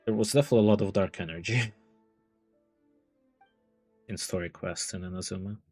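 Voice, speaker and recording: A male game streamer with an extremely low, husky voice full of fry. He muses haltingly and casually, close on a dry mic. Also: a little mellow, dry recording, soft background music. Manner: halting, musing. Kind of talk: casual live gameplay commentary.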